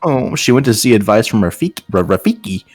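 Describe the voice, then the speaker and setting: A man's voice: fairly low pitch, very animated, fast talker, darkish tone, breathy edge, no echo, soft background music. A man doing a casual read-aloud for friends, breaking off into joking, sarcastic asides. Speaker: host reading fan fiction aloud with friends.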